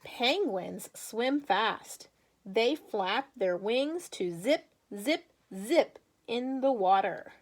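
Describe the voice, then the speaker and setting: A woman with a fairly high voice talks as a teacher to young children. She takes her time, very slow and deliberate, with emphatic read-aloud phrasing. Chatty asides and encouraging questions are part of her delivery. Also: lively intonation.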